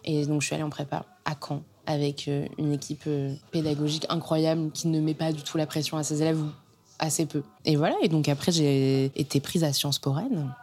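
A young woman from Normandy talks in a low, chatty voice as a podcast guest. Her speech is informal and loose, with frequent fillers and restarts. She is recorded in a bar laid out like a home. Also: steady tone, a little fast, darkish tone. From Normandy, France. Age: young adult. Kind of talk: casual interview talk. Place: converted house bar, in a bathtub.

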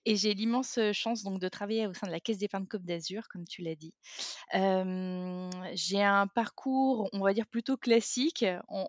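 A woman in her thirties from the south of France talks through her job as a podcast guest. She chats loosely with many fillers and restarts, at a middle pitch. The sound is dry and close. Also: no room sound. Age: 30s. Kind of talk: casual interview talk.

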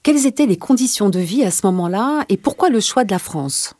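A woman hosting a podcast, reading an introduction and asking calm, measured interview questions. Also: mid-range voice, no echo. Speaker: podcast host.

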